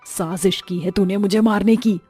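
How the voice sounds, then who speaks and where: A woman voicing a harsh middle-aged mother-in-law who curses and scolds her new daughter-in-law in a slow, drawn-out way, over faint music. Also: medium pitch, steady tone, warm tone, husky, lightly acted. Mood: wailing. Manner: slow, drawn-out, cursing and accusing.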